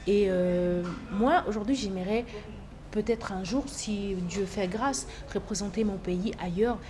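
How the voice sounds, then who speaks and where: Female singer, Bemba as first language, repeats herself for emphasis, mid-pitched voice, slight hiss behind. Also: measured pace. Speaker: singer, guest. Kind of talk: casual interview talk.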